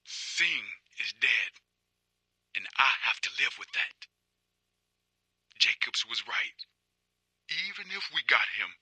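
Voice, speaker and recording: An actor playing a guilt-ridden, obsessive middle-aged detective in a video game, husky and halting, in a brooding, intense monologue. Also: male voice, medium pitch, bright, forceful tone, no room sound, full character voice. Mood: guilty, brooding. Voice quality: husky, raspy.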